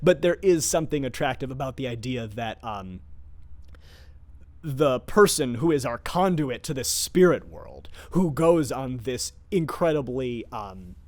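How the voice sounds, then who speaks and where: A man's voice: mid-range voice, lively intonation, measured pace, darkish tone. A man speaking as a theatre director in an informal, scholarly talk, enthusiastic but often searching for words as he explains. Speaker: theatre director discussing his production.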